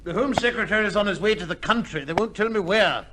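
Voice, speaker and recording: An actor playing a concerned, careful solicitor with a formal manner in an old-time radio drama. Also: male voice, high-pitched, fast, pushed and bright. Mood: anxious. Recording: faintly noisy old radio recording.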